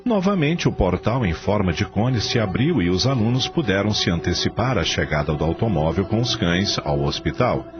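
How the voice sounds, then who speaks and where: Male storyteller, Brazilian, low register with wide inflection, scripted radio-drama narration over clear music, slightly lo-fi. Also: animated, moderate pace, few pauses. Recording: dull lo-fi audio. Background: clear music bed.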